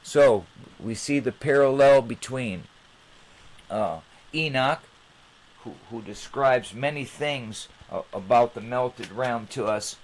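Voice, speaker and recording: A man hosting an informal explanatory monologue, with halting, rambling phrasing. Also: medium pitch, slight background noise, low-quality recording. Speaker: channel host.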